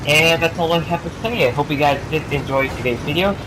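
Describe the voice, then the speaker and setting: Soğuk algınlığı olan genç bir erkek. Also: male voice, a little high, a little fast, noisy background. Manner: rambling, many fillers and restarts. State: has a cold. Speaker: gaming YouTuber. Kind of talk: casual rambling commentary. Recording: game sounds behind voice.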